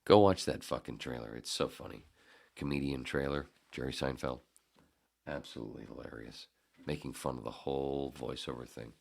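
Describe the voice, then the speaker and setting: A man's voice: a bass voice, moderate pace, gravelly, darkish tone. A man hosting a solo podcast, talking in a rambling, profane, self-deprecating way. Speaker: podcast host. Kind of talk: casual solo podcast monologue.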